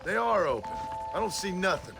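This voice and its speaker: An actor playing a brooding, defiant outlaw cowboy in a western video game, terse, dry and bitterly sarcastic. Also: male voice, high-pitched, very animated, a little fast, creaky, over music, full character voice. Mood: grim, defiant.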